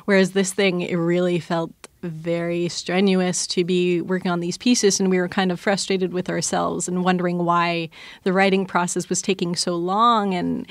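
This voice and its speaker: A woman's voice: fairly low pitch, level tone, moderate pace, dry recording. A woman talking casually and conversationally as a podcast producer being interviewed.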